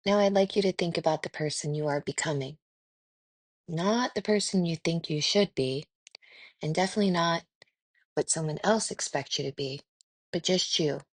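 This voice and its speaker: A woman leading a guided meditation in a gentle, soothing, unhurried way with pauses. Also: low-pitched, no room sound.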